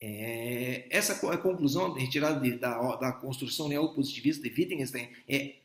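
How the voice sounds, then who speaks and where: A man's voice: medium pitch, normal speed. A middle-aged Brazilian man giving a philosophy lecture to doctors, explaining step by step with frequent restarts and rhetorical checks. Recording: echoey room.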